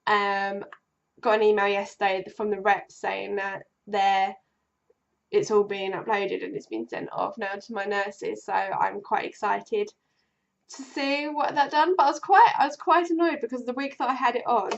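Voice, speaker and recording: A woman's voice: mid-range voice, little pitch movement, normal speed, vocal fry. A young woman talks straight to camera in a casual vlog. She has a cold, so her voice is bunged up and keeps fading. She rambles with plenty of ums and false starts, and the recording sounds dull and a little lo-fi. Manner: rambling, many ums and restarts. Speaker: vlogger.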